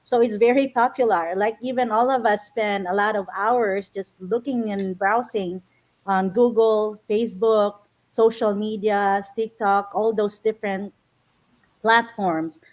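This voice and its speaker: A woman's voice: mid-range voice, fairly even intonation, a little slow. A middle-aged woman from the Philippines, a panelist giving earnest answers with everyday examples. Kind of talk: panel discussion.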